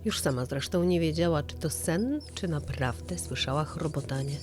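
Low-pitched woman narrating true crime. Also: normal speed, over music. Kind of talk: scripted true-crime story narration, audio drama style.

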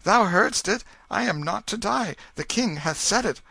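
A man's voice: fairly high pitch, lively intonation, measured pace, sharp tone, dry recording, voice pushed up, full character voice. A man voicing a humble, frightened pauper boy who speaks in old-fashioned English to a king. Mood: joyful. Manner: trembling, humble, archaic speech. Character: pleading pauper boy mistaken for a prince.

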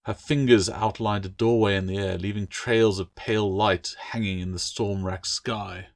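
A man's voice: lowish voice, fairly even intonation, moderate pace, gravelly. A man reading his own fantasy novel as a scripted audiobook, with dramatic storytelling delivery.

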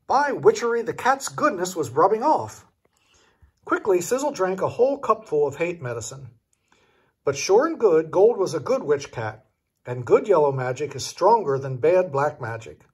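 An older man reads a children's story aloud with a very animated delivery. His voice is husky and sits fairly high for a man, like a grandfather at storytime.

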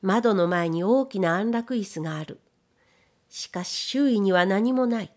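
Female narrator, medium pitch, for scripted literary audiobook; measured storytelling delivery. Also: animated.

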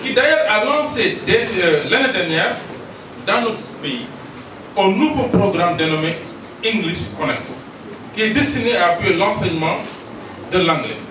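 A man's voice: high voice, lively intonation, normal speed. An older man with a Senegalese accent solemnly reading an official speech on behalf of a minister, into a handheld mic in a large hall. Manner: solemn, ceremonious, reading aloud. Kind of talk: formal speech read from notes. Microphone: handheld mic, filmed from a distance. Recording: echoey hall, distant, noisy.

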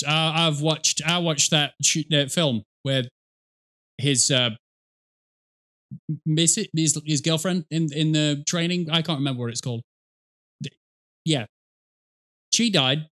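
A man's voice: on the high side, a little slow, pauses often, sharp tone. A man in his thirties giving casual reaction commentary in a sweary, self-mocking way. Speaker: reaction video host, gamer.

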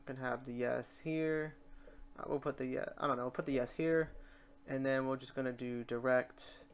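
Chatty tutorial host, mid pitch, kinda meandering, bit of noise w keyboard clicks. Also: vocal fry. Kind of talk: casual software tutorial, thinking aloud while working. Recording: noisy room, keyboard and mouse clicks. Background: typing and mouse clicking.

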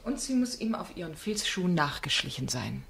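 A woman's voice: on the low side, very animated, fairly quick, creaky, a bit bright, slightly rough, exaggerated voice. A woman playing a firm, probing female defence lawyer in a crime radio play, with insistent, pointed questioning. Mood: grave, reconstructing. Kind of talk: German radio drama acting.

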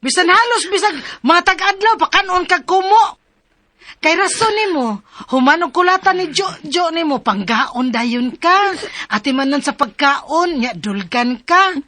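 Shrill, scolding female friend. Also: animated, unhurried, sharp tone, dry recording, heavily acted. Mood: exasperated scolding. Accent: Filipino, Cebuano. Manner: exclaiming, scolding. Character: scolding, shocked woman friend, exasperated and caring. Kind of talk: radio drama acting.

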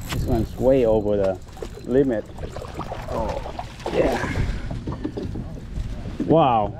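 A man filming himself fishing from a kayak, giving short excited remarks about his catch through a head-mounted camera. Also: medium pitch, drawn-out words. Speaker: angler filming his own fishing trip. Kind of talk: casual running commentary. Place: kayak on open water outdoors. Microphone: head-mounted action camera. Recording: loud outdoor wind and water noise. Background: water and wind noise.

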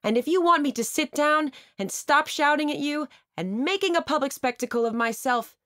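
A woman reading the part of an impulsive, slightly drunk man who loudly proposes marriage, speaking with theatrical force. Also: fairly high pitch, moderate pace, dry recording, studio quality, lightly acted. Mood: shouting. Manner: loud, theatrical shouting.

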